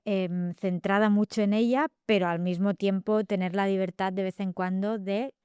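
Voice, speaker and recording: A middle-aged woman with a Catalan accent, a novelist talking reflectively in an interview, often pausing with fillers and tag questions. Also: medium pitch, steady tone, dark tone, clear, resonant tone, no echo, clean studio sound. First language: Spanish. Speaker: guest novelist. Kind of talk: thoughtful interview talk.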